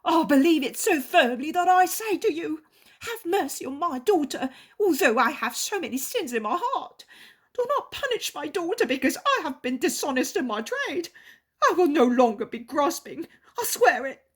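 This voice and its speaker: Woman putting on a high, loud, pleading innkeeper guy, begging then gushing. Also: sing-song, normal speed. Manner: fervent, pleading, emotional. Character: repentant older male innkeeper, pleading, overjoyed.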